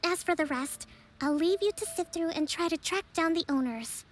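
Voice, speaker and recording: A calm young priestess leader. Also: female voice, extremely high pitch, normal speed, slight background noise. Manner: composed, formal, gently authoritative. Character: young female divine priestess, calm and measured. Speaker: island leader. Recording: game audio with soft music behind.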